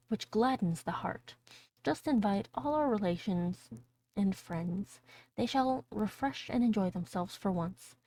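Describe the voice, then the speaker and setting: A woman's voice: medium pitch, dark tone, rough recording. A woman voicing an earnest, proud and generous young male apprentice in a storybook, with old-fashioned phrasing.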